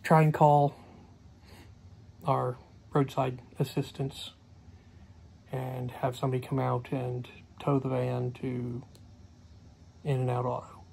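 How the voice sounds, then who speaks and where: A man's voice: medium pitch, monotone, slow, gravelly, long pauses, darkish tone, a little hiss or hum. A middle-aged man giving a casual daily vlog recap, rambling with many fillers, recorded on a phone camera close to his face in a small cluttered room. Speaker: homestead vlogger.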